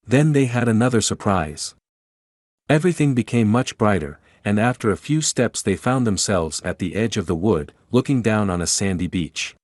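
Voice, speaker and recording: A man's voice: on the low side, expressive, moderate pace, a little mellow, dry recording, studio quality. A man reading scripted storybook narration as the narrator.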